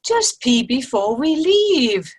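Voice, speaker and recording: A woman plays a sarcastic, exasperated older lady in a stage comedy. She needles dryly and slowly, her pitch swinging widely. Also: big pitch swings, darkish tone, slightly rough, heavily acted. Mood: needling. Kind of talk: play reading. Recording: video call play reading.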